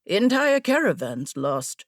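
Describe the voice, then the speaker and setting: Woman voicing a stern, measured male guard captain. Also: mid-range voice, very animated, no room sound, studio quality. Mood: cautioning. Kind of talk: audiobook fantasy character dialogue.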